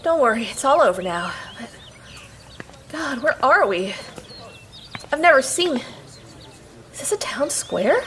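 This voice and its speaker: A woman's voice: high-pitched, sing-song, slow, long pauses, fairly bright tone, a little hiss or hum, full character voice. A woman playing a bewildered, earnest young girl in an audio drama, flustered and stammering. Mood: shaken, concerned.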